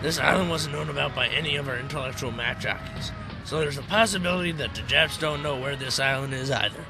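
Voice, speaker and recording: A man's voice: medium pitch, fast talker, creaky, music in the background, natural voice. A man playing a stern, commanding American army captain in an amateur World War II radio drama, speaking in dry, clipped orders.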